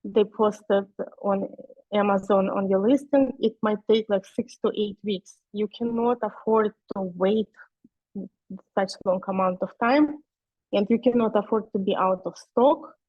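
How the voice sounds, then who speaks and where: A woman's voice: medium pitch, measured pace, no echo, highs cut off. A woman in her forties from Russia with a Russian accent, a non-native speaker giving a webinar presentation, hesitant and full of fillers. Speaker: guest speaker.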